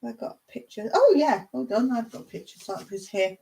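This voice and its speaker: A woman's voice: a little high, sing-song, fast talker, creaky, warm tone. A woman hosting a casual live stream, talking to viewers in a rambling, chatty way and laughing often.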